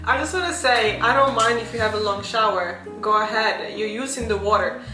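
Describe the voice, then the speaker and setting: A woman chatting playfully to camera as co-host of a couple channel, picking her words slowly. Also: fairly high pitch. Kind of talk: casual couple chat to camera. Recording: echoey room with music behind.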